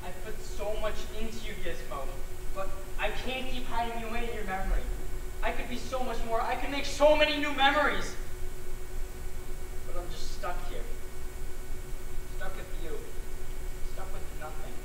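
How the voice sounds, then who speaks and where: An earnest, lonely young man played by a male actor speaks wistfully in a stage play. The voice comes from far away in a heavily echoing space, with clear noise behind it. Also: high-pitched, lively intonation, moderate pace, long pauses. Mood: sorrowful, resolved. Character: earnest, lonely young dreamer clinging to lost dog. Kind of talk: one-act stage play monologue. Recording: very echoey distant stage recording, noisy.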